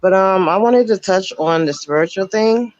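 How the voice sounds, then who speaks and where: A woman calling into a live show, her voice raspy from just waking up, talking earnestly with halting restarts. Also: mid-range voice, darkish tone, no room sound. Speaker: caller. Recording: dull, dry call-in line.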